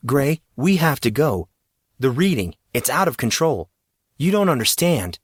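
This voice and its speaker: A man's voice: mid-range voice, very animated, unhurried, breathy edge, no echo, studio quality, voice pushed up. A man voicing an agitated young gadget expert who rambles urgently and earnestly in an audiobook story. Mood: urgent, alarmed.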